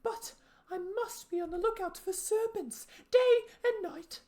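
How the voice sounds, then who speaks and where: A woman voicing a frantic, indignant, suspicious pigeon from a storybook, scolding in drawn-out shrieks. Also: very high-pitched, warm tone, pitched above the performer's own voice. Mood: exasperated, sobbing, then shrieking alarm. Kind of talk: storybook character voice.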